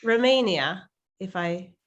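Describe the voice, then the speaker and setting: A woman's voice: medium pitch, animated, takes it slowly, lo-fi sound. A woman hosting an online team call, chatty and drawn out with many fillers. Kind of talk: casual online meeting hosting.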